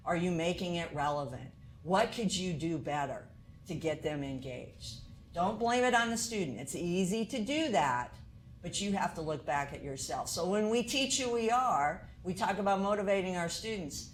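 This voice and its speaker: A woman's voice: lowish voice, a little hiss or hum. A woman college instructor lecturing to teachers in an earnest way, asking many rhetorical questions.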